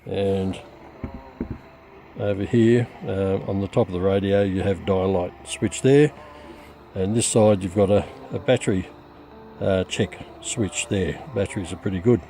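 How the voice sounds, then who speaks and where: A man giving a halting, matter-of-fact, unscripted demonstration of gear as a hobbyist. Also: deep voice, dark tone, soft background music. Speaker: radio hobbyist presenter.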